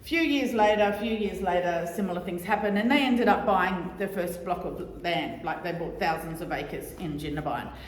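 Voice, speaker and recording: A middle-aged woman giving a loud, chatty, joking talk to a society audience, repeating her key points. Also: lowish voice, moderate pace, hardly any pauses, a little hiss or hum. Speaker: guest speaker on oral history. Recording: echoey hall.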